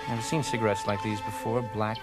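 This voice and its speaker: An actor playing a dazed, hard-boiled private detective, wry and hesitant, in film-noir parody style. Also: male voice, lowish voice, little pitch movement, fast, over loud music, lightly acted.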